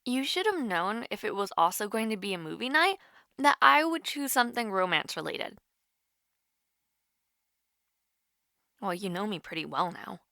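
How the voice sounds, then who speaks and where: A woman's voice: mid-range voice, big pitch swings, fairly quick, creaky, sharp tone, no room sound, clean studio sound, heavily acted. A woman voice-acting a nervous, playful young woman on a call with her online crush, hesitant and teasing in an intimate audio roleplay.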